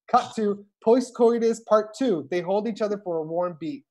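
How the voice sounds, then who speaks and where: A man's voice: high voice, moderate pace, darkish tone. A man narrating a script at a table read, reading the stage directions aloud over a video call. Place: indoors at home on a video call. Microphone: video call microphone.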